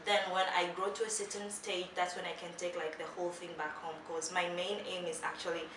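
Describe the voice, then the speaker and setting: A woman in her twenties from Tanzania, a designer answering interview questions in a soft, modest way, laughing now and then. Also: fairly low pitch, level tone, fairly quick. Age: 20s. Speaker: guest, accessory designer. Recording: echoey room, mic at a distance, noisy.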